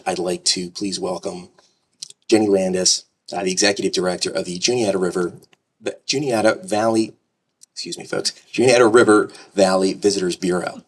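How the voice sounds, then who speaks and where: An earnest man introduces speakers at an outdoor ceremony, now and then tripping over a word. His voice is very deep. The mic catches him from some distance. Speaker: master of ceremonies. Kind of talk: public speech at outdoor ceremony. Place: outdoors at a courthouse.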